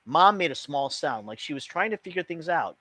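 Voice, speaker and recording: A man reading a children's book aloud as an expressive storyteller. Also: a little high, brisk, no echo.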